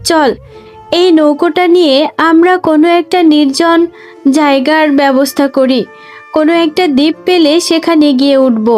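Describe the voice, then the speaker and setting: A woman's voice: high-pitched, steady tone, moderate pace, darkish tone, soft background music, close to an everyday voice. A woman voicing a caring mother fox in a children's fairy-tale cartoon, talking in a soothing, explanatory way.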